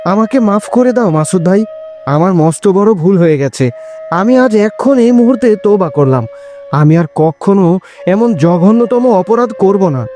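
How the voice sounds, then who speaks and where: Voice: medium pitch, animated, a little slow, mellow, close to an everyday voice. A performer voicing a pushy young boy who secretly smokes and coaxes his friend in a cartoon. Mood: remorseful. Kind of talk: cartoon voice acting. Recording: music behind the voice. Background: background music.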